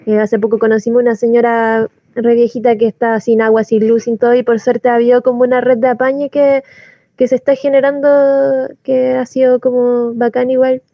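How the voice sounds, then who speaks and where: Higher-voiced Chilean woman, casual. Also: little pitch movement, normal speed, dry recording. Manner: informal, colloquial. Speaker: community soup kitchen volunteer. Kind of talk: casual spoken appeal for donations. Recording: dull lo-fi close recording.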